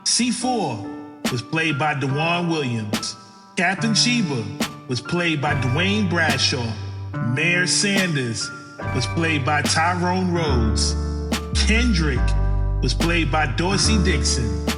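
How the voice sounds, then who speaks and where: High-pitched male announcer over loud music. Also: expressive, takes it slowly. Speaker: credits announcer. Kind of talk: scripted credits read. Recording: reverberant, loud music under voice.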